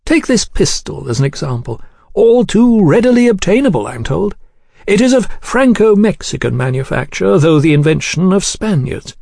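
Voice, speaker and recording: A man voicing a suave, coolly menacing English gentleman for an audiobook, genteel and elaborately courteous, with an insinuating way of talking. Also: sits high, sing-song, moderate pace, creaky, dry recording, studio quality, voice pushed up, exaggerated voice. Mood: quietly menacing. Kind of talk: audiobook character dialogue.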